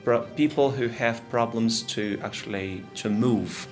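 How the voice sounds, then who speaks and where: A man's voice: medium pitch, unhurried, music in the background. A man teaching informally, explaining step by step and often checking that listeners follow. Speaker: teacher, moderator. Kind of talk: informal classroom explanation and questioning. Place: classroom at a language centre.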